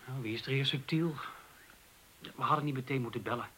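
A man's voice: mid-range voice, brisk, pushed and bright, breathy edge, low-quality recording. An actor in a Dutch radio drama playing a cocky, wisecracking male police detective with a blustering, colloquial manner. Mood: defensive.

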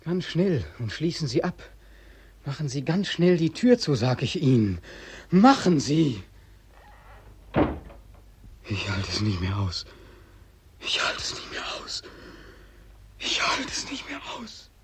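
An actor playing a bitter, exhausted young man back from war in a radio drama, anguished and rhetorical, with a breathy, strained voice. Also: fairly high pitch, very animated, slow, long pauses, noisy background, exaggerated voice. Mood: shocked, despairing. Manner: anguished, rhetorical, repeating himself. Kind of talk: German radio drama acting.

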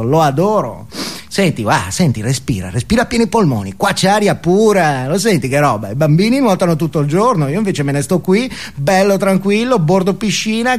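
A man playing a smug, irritable well-off family man showing off in a comic stage monologue, chummy and always seeking agreement. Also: high voice.

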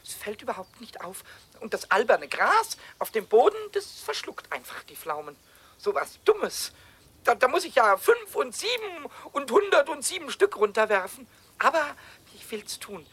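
A man playing a cheeky, childlike little kobold in a 1970s children's radio play, squeaky and excitable, stammering and rhyming. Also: moderate pace, husky, exaggerated voice. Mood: grumbling, mischievous. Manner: stammering repeats, rhyming, excitable muttering and shrieking.

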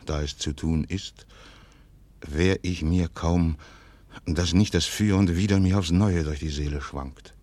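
Very deep, slow, stern aristocratic commander. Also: male voice, mellow. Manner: grave, measured, declamatory. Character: stern, calculating, commanding aristocratic father and military governor. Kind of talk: 1940s German radio play acting.

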